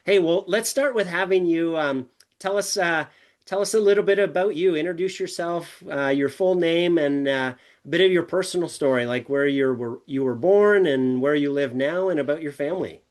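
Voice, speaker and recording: A man's voice: on the high side, normal speed. A man hosting a business podcast, introducing a guest and asking questions upbeat with frequent fillers. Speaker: podcast host, business coach.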